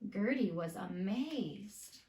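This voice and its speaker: A woman reading a picture book aloud to children, expressively and with deliberate emphasis. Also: mid-range voice, expressive, a little mellow. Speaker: children's read-aloud teacher. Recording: roomy, echoey indoor sound.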